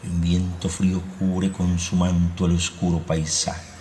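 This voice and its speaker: A man acting as the narrator of an eerie night-time horror radio play, telling it in a measured, dramatic way. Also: sits low, monotone, warm tone, a little hiss or hum. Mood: ominous.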